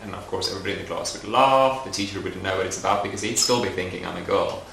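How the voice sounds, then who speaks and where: A young man speaking in a documentary interview, thoughtful and soft-spoken with frequent pauses and fillers. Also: deep voice, gravelly, slight background noise. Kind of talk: reflective personal interview. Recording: roomy echoey recording.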